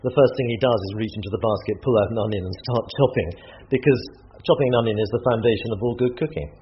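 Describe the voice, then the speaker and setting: A man's voice: on the low side, fast talker, muffled recording, slight background noise. Chatty English man cooking. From England. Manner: enthusiastic, chatty. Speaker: author. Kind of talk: casual interview talk while cooking. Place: home kitchen.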